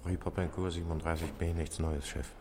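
A very deep, dark male voice of an actor as a police detective in a radio play. He reports tersely and plainly, a laconic subordinate on watch. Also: fairly even intonation, a little fast, close to an everyday voice. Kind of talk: German radio crime drama acting.

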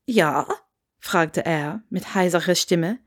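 A woman narrates a piece of fiction from a script. Her voice sits at an average pitch for a woman, in the style of an audiobook. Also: a little slow, a little mellow.

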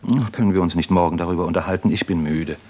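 An actor playing a weary, disillusioned captive doctor in a German radio play, articulate and bitterly ironic. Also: male voice, sits low, fast talker, warm tone. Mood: weary, irritated. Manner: articulate, bitterly ironic, impassioned in long speeches.

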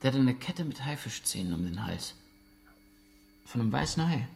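An actor playing a guarded, proud military contractor under interrogation in a naturalistic radio drama, terse and evasive. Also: male voice, medium pitch, big pitch swings, normal speed, gravelly, darkish tone. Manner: terse, evasive answers, flaring into denial.

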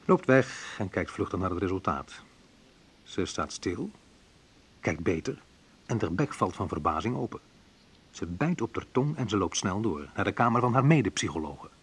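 A man's voice: deep voice, very animated, normal speed, husky, heavily acted. An actor playing a wounded man who narrates his youth in a radio play, in short colloquial phrases. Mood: bitter, sardonic. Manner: colloquial, sardonic, clipped short sentences. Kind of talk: dramatised first-person narration, radio play.

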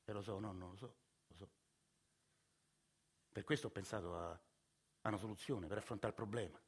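A man, narrating and acting his own story in a radio theatre monologue, soft-spoken and hesitant. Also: fairly low pitch, moderate pace, husky. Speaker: narrator and lead. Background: music.

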